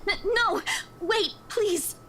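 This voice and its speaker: High-voiced shaken young woman. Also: bright, forceful tone, slight background noise, heavily acted. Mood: panicked, pleading. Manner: halting, hesitant delivery. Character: shaken, exhausted young female office worker, pleading and frightened. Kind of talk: visual novel voice acting.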